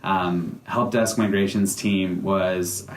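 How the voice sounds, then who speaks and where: An earnest American man speaks in a low voice, telling his story as a founder in a testimonial. His talk is padded with plenty of "uh" and "um" fillers. The room around him is echoey. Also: fairly even intonation, slow, slight background noise. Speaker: company founder giving a customer testimonial. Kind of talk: testimonial to camera, semi-prepared speech.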